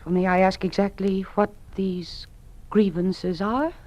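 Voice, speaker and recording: An actress playing an earnest, principled schoolteacher in an old-time radio drama, polite and careful. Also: female voice, medium pitch, fairly even intonation, a little slow, darkish tone, natural voice. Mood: restrained. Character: principled woman schoolteacher, earnest and quietly defiant. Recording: muffled vintage radio recording.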